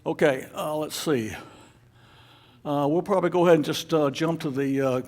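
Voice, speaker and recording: A man leading a club meeting, giving informal announcements in a halting, unscripted way. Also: fairly high pitch, darkish tone. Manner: halting, hesitant, unscripted delivery. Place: club meeting room with an audience.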